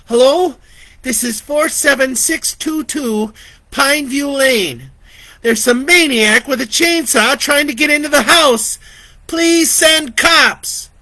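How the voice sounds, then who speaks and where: A man voicing a fierce, terrified teenage girl babysitter in a storybook reading, frantic and yelling. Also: very high-pitched, takes it slowly, no room sound, pitched above the performer's own voice, full character voice. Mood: panicked yelling.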